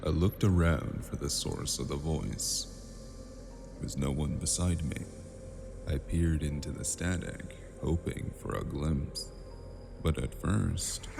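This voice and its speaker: A man narrating and playing the lead in a noir audio drama, in a slow, brooding, dry manner. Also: very deep voice, creaky, in a large hall, noisy background.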